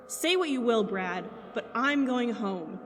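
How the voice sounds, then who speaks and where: A woman's voice: a little high, animated, unhurried, faint music behind. A woman playing a bitter, exhausted, foul-mouthed young female spellcaster in a fantasy audio drama, emotional and scornful. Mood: defiant. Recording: long cavernous reverb.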